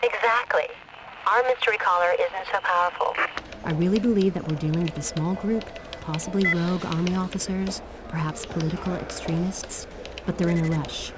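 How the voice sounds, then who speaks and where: Actress playing female military handler, video game briefing, crisp, commanding, businesslike, noisy cutscene ambience. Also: fairly low pitch, a little fast, natural voice. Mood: analytical, focused.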